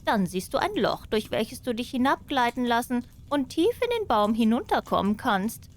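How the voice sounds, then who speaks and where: A woman narrating a fairy tale as an expressive audiobook storyteller. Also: on the high side, normal speed.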